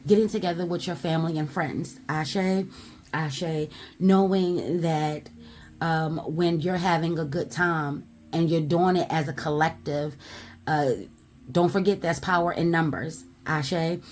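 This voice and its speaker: A woman giving a spiritual tarot message in a loud, emphatic voice, blunt and at times swearing, punctuating her points with repeated affirmations. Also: sits low, fairly even intonation, a little slow. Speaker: tarot reader.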